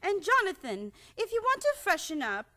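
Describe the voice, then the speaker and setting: A woman's voice: extremely high pitch, huge pitch swings, normal speed, pushed and bright, heavily acted. A woman playing a genteel, fussing elderly aunt in a radio play, flustered yet politely firm. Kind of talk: old-time radio play acting.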